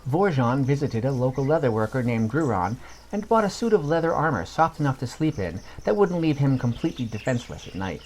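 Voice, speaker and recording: A man narrating a scripted fantasy story as a podcast storyteller, in a measured reading manner. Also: mid-range voice, sing-song, a little mellow, a little hiss or hum, poor audio.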